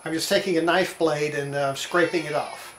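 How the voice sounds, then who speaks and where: A woman's voice: low-pitched, fairly even intonation, moderate pace. Older woman narrating in a noisy, roomy workshop. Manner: matter-of-fact, talking while working. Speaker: radio restorer demonstrating repairs. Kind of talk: casual hands-on workshop narration. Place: indoor home workshop. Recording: roomy workshop, loud sanding and rubbing noise.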